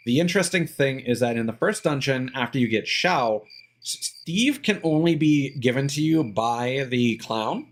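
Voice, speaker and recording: A man giving casual live gameplay commentary, explaining details in a halting way and thinking aloud with asides. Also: mid-range voice. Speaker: game streamer.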